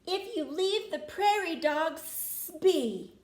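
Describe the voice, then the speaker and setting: Eager young male rattlesnake, played by a woman: high, earnest, countrified storybook voice, roomy sound. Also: animated, slow, exaggerated voice. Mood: plucky. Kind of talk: folksy Western storybook character.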